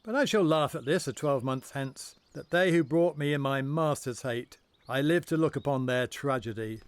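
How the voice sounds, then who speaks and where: A man's voice: medium pitch, normal speed, dry recording, close to an everyday voice. Man acting cocksure theatrical English lord. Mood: defiant, gloating. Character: proud, overconfident English lord, scornful and loyal. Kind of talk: Shakespearean audio drama acting.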